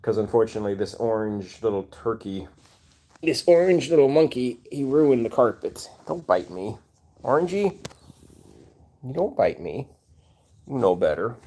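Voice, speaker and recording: A man's voice: mid-range voice, big pitch swings, moderate pace, pauses often, warm tone. A man in his forties hosting a casual cat livestream, rambling with fillers and restarts and talking to his cats between viewer comments. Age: 40s. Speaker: cat channel livestream host.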